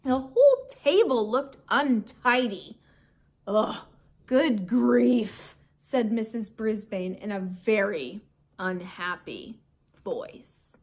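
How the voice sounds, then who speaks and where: A woman's voice: mid-range voice, very animated, takes it slowly. A woman teacher reading a children's storybook aloud in a theatrical, emphatic way that invites young listeners in. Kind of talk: expressive children's storybook narration.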